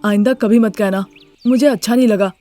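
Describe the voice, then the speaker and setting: A woman's voice: medium pitch, fairly even intonation, fairly quick, warm tone, faint music behind, natural voice. A woman voicing an earnest, affectionate young husband in a moral story, with a pleading manner. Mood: earnest, protesting. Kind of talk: Hindi moral story character voice.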